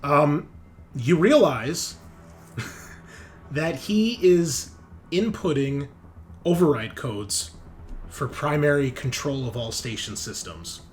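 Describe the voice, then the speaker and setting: A man's voice: on the high side, expressive, slow pace, long pauses. Casual male game master, faint background noise. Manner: casual, joking. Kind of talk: improvised tabletop game narration.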